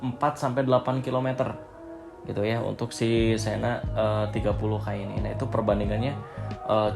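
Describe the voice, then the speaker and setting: A man's voice: mid-range voice, gravelly. A man giving a casual, off-the-cuff product review, hesitating often with filler phrases. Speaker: vlog host reviewing gear.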